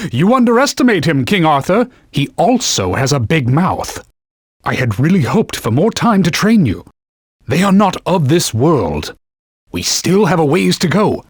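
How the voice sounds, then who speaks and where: Talking sword, game mentor: stern, pompous, archaic scolding, highly animated delivery, raspy edge, very dry studio sound. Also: male voice, no echo, exaggerated voice. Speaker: video game companion guide. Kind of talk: video game voice acting, short combat callouts.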